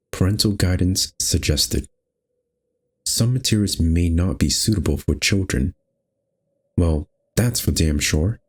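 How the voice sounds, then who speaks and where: A man narrating a visual novel aloud as host, in an expressive, measured storytelling style. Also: sits low, breathy edge.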